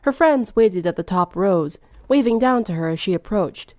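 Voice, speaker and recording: A woman's voice: mid-range voice, expressive, normal speed, darkish tone, no room sound. A woman reading fan fiction aloud as scripted third-person narration.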